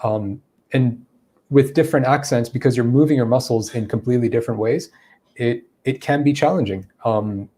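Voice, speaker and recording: Brief: male English teacher, mellow tone, explanatory, filler-heavy Q&A. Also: mid-range voice, husky. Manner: explanatory, thinking aloud with fillers. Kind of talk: answering viewer questions in a lesson.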